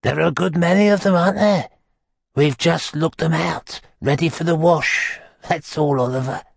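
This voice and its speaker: A man voicing an oily, ingratiating old crook in a storybook, with a creaky, rough-edged voice. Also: a little high, normal speed, dry recording, studio quality, voice pushed up. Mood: oily, wheedling. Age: older. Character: merry old gentleman, wheedling crook with a gang of boys. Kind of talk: dramatised audiobook character voice.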